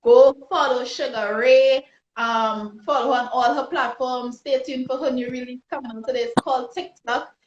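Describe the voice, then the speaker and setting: Trinidadian woman, host, fairly high voice, chatty and upbeat, roomy echo. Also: fairly even intonation, moderate pace, a little hiss or hum. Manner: upbeat, chatty, frequent restarts and fillers. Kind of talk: live online interview chat. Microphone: phone or laptop on a video call. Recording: roomy, dull lo-fi livestream audio.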